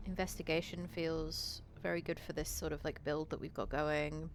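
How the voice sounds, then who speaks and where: A woman's voice: low-pitched, level tone, moderate pace, a little hiss or hum. A woman game streamer reading a visual novel aloud and chatting to viewers, with casual swearing and playful asides. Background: eerie game music.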